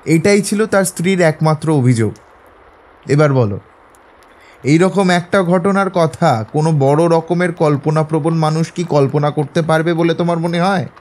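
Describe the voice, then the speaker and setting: Mellow, higher-voiced gentleman detective played by a man. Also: close to an everyday voice. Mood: amused, triumphant. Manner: measured, eloquent, lecturing. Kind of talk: Bengali audio drama acting.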